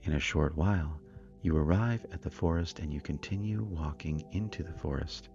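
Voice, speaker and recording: A man narrating a scripted guided meditation in a calm, soothing, deliberate manner. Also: rumbling low, dull audio. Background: meditation music with singing bowls.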